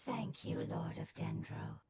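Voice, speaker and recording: A woman voicing a solemn, compassionate elemental creature in a video game, speaking formally and gravely for its kin. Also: deep voice, measured pace, background noise, exaggerated voice.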